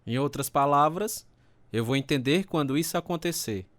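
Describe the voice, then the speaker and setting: A man's voice: on the high side, expressive, moderate pace, no room sound. An actor playing an earnest, repentant former alcoholic telling his life story in a Brazilian radio drama. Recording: loud guitar music under the voice.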